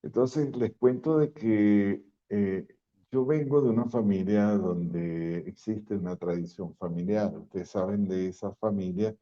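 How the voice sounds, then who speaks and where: A man's voice: lowish voice, measured pace. An older man from Venezuela with a Venezuelan accent, a pastor sharing his testimony in a folksy storytelling way. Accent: Venezuelan Spanish. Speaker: pastor and guest speaker. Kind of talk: testimony talk. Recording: dull lo-fi sound.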